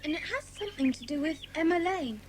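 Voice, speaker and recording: Cheeky, excitable schoolgirl played by a woman for a children's audio adventure; high, very lively delivery. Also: fairly quick, background noise. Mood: chatty. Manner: eager, exclamatory. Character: excitable, cheeky young girl in a children's detective club. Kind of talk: dramatised children's audiobook acting.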